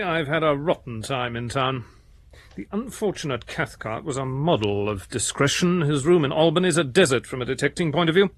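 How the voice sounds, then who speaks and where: An actor playing an earnest, methodical English detective voicing a letter in a radio drama, precise and businesslike. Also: male voice, mid-range voice.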